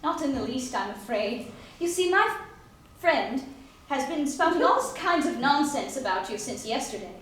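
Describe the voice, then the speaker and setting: A woman's voice: high-pitched, expressive, moderate pace, fairly bright tone. A woman playing a well-bred, breezy young heiress and ballerina in a stage musical comedy, politely arch. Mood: earnest, puzzled. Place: live staged reading before audience. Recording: echoey stage, distant mic, faint noise.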